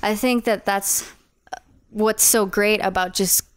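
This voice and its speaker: Young woman, musician guest, smooth resonant voice, dry close sound, chatty and animated. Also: mid-range voice, slow pace, no room sound. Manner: animated, acts out her own inner voice. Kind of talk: casual podcast interview.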